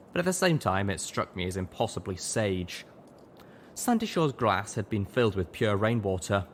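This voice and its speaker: A man's voice: deep voice, slight background noise. A man reading first-person dramatic story narration as an audiobook narrator.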